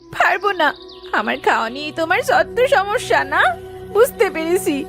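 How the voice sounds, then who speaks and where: Give this greedy young cartoon daughter, played by a woman, a high, very animated voice. She whines and exclaims petulantly, always hungry. Light music runs underneath. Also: normal speed, exaggerated voice. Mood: whiny demanding. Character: greedy, whiny young daughter obsessed with food. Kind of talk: Bengali children's cartoon dubbing.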